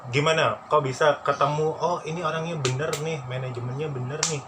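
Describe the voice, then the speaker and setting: A man hosting a casual vlog chat with a friend, playful and teasing, quick to laugh. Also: a little high, steady tone. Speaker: vlog host interviewing a friend. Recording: noisy background.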